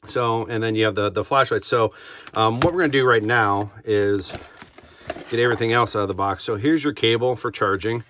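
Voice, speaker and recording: A man giving a casual unboxing review as a product reviewer, speaking informally and a bit haltingly. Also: on the low side, fairly even intonation, fairly bright tone, slight background noise. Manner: informal, hesitant, halting delivery.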